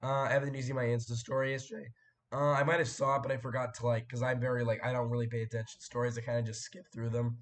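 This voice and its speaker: Hoarse young man chatting on a stream. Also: mid-range voice, little pitch movement, racing. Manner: rambling, chatty, frequent fillers and throat clearing. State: hoarse after a sore throat, voice cracking. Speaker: live stream host. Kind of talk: casual live stream chat, reading viewer comments.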